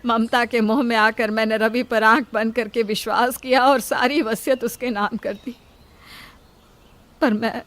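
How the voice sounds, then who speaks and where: A woman's voice: high voice, a bit bright, voice pushed up. A woman voicing a worried, self-sacrificing elderly mother in an emotional, pleading way for a moral story. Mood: remorseful, pleading.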